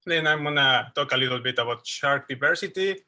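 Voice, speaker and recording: Bright-voiced man with a Mexican accent. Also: medium pitch. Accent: Mexican Spanish. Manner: halting, restarts often, informal. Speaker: presenter. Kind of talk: casual slideshow talk over video call. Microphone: computer mic on a video call.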